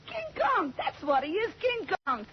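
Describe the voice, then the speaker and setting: Rowdy young dame, high swoopy voice, old radio drama, loud noise. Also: female voice, wildly swooping pitch, a little slow, exaggerated voice. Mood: goading. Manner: gushing, goading, defiant. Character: brassy, rowdy young party girl. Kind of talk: 1940s radio drama acting. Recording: muffled, very noisy old radio recording.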